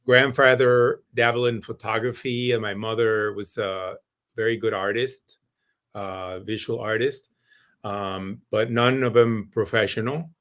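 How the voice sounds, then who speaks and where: A middle-aged man from Mexico, a musician guest recalling his start in an unhurried, thoughtful way. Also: on the low side, fairly even intonation, a bit bright. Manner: thoughtful, many fillers and restarts. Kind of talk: podcast interview.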